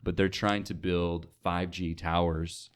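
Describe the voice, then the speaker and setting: Deep-voiced man chatting casually. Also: level tone, unhurried, clear, resonant tone. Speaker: podcast guest, radio sales associate. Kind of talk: casual podcast chat.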